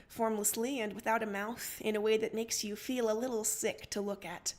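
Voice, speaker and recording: A woman's voice: fairly high pitch, steady tone, normal speed. A woman narrating second-person fiction expressively as a livestream story reader.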